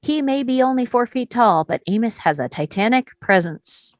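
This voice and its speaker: A woman's voice: a little high, expressive, moderate pace, no echo, clean studio sound. A woman reading stage directions as the narrator of a screenplay.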